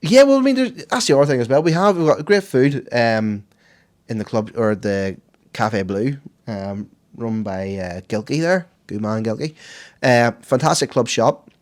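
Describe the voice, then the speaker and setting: A hoarse man with a Northern Irish accent who co-hosts a podcast and gives the sport round-up with animated, excitable enthusiasm. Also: medium pitch, normal speed. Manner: enthusiastic, animated, laughs easily. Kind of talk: casual podcast chat.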